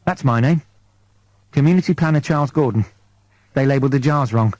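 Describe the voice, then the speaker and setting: A man voicing a clumsy, eager, hapless crewman with an excitable, earnest, pleading manner in a comic science fiction audiobook. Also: medium pitch, brisk, dry recording, close to an everyday voice. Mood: sheepish, eager.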